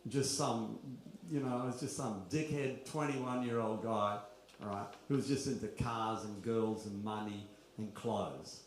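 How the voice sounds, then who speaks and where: A man's voice: lowish voice, fairly even intonation, a little slow. A middle-aged man gives an informal, confessional spiritual talk at a kirtan gathering, full of rhetorical questions and wry asides. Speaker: kirtan speaker. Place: large echoey room at a kirtan gathering. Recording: very roomy, faint background noise.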